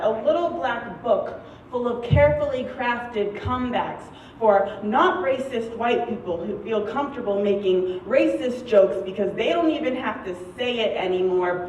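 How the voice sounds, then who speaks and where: A young American woman performing a poetic monologue on stage in a deliberate, measured way with dramatic pauses. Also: medium pitch, a little mellow. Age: young adult. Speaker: student spoken-word performer. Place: stage in a large room. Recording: roomy, distant, dull stage recording, noisy.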